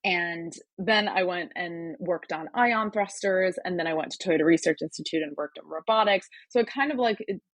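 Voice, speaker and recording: Guest: young woman, American accent, lowish voice, rambling casual delivery, dry close sound. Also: moderate pace, hardly any pauses, no room sound. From Turkey. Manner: chatty, many fillers and restarts. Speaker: podcast guest, hardware startup advisor. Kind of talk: casual interview conversation.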